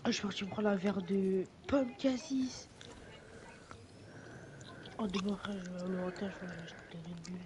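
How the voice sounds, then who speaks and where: A woman chatting excitedly and loudly with her friends in slangy casual speech. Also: mid-range voice, fairly quick, long pauses. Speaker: vlogger talking with friends. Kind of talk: casual chat among friends. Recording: muffled, noisy recording.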